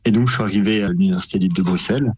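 A man's voice: sits low, racing, darkish tone, husky. A young man from France answering interview questions about his move abroad, earnest and explanatory, often saying "en fait". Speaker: interviewee, editor of an online publication.

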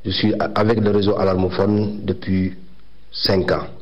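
Low-voiced man, muffled audio. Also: flat delivery, moderate pace, a little mellow. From Senegal. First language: Wolof. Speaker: migrant rights activist. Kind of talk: personal introduction. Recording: muffled lo-fi recording.

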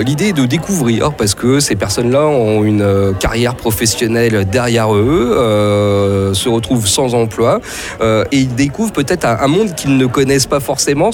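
A male radio reporter asks his questions in a steady, measured way. His voice has a middling pitch. Music plays clearly behind him throughout the report. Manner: measured questioning, occasional fillers. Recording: music playing underneath.

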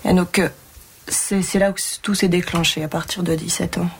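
A young woman from the Paris area, a shepherdess trainee, telling her story in a halting way full of fillers. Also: fairly low pitch, steady tone, noisy background. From Paris area, France. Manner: halting, many "euh" fillers. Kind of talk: personal account.

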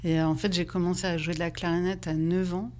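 Low-voiced hesitant French-speaking woman. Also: flat delivery, quick pace, darkish tone. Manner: thoughtful, hesitant, many restarts and fillers. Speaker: musician guest. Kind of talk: radio interview, casual chat.

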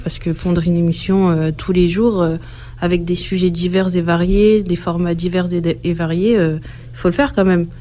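A woman's voice: lowish voice, darkish tone. A woman of twenty-three speaking as a radio trainee, hesitant and full of fillers. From France. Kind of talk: radio testimony.

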